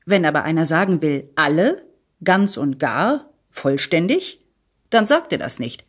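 A woman reading a satirical essay aloud in an expressive, deliberate and emphatic way with dry irony. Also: low voice, husky. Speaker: reader of a literary text.

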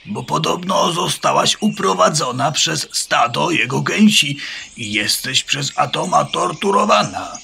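An actor playing an anxious, loyal male farmyard bird in a children's radio play, earnest and cautious, with a gravelly, strained voice. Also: fairly high pitch, expressive, unhurried, bright, forceful tone, slight background noise, exaggerated voice.

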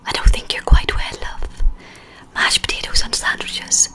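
A woman's voice: unhurried, sharp tone. A woman whispers playfully and casually to live viewers, close to the mic, often repeating phrases. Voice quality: breathy whisper. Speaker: ASMR live streamer. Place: small home room, indoors. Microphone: large microphone right in front of mouth.

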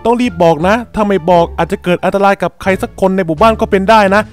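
A man doing animated, colloquial improvised roleplay for a game video, full of exclamations. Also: high-pitched, fast, faint music behind. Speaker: creator voicing characters in a Minecraft roleplay video.

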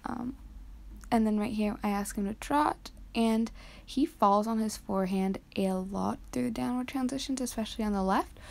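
A teenage girl from Canada talking softly over her riding footage, explaining each step with frequent hesitant ums. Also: mid-range voice, normal speed, gravelly. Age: teens. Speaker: vlogger.

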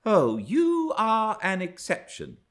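A man's voice: high-pitched, huge pitch swings, slow pace, fairly bright tone, voice pushed up, heavily acted. A man voicing a proud, scornful young lady in an audiobook, with a biting, indignant manner. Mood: sharp contempt. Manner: haughty, disgusted, biting. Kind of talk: dramatised audiobook reading of a classic novel.

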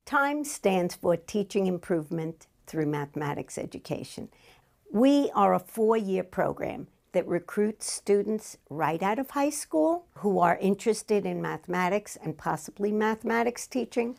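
A woman who heads a math education program explains it clearly in an interview. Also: medium pitch, expressive, a little slow, darkish tone.

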